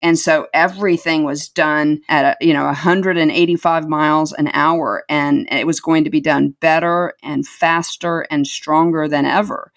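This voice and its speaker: A woman's voice: sits low, steady tone, normal speed, hardly any pauses. A middle-aged woman telling her life story as an interview guest, earnest and reflective, repeating words for emphasis.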